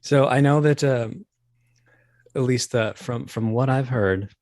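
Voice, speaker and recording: A man's voice: mid-range voice, a little slow, a little mellow, no room sound, clean studio sound. A man speaking as a podcast guest in casual conversation, hesitant and self-deprecating as he feels out his words. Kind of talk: casual interview chat.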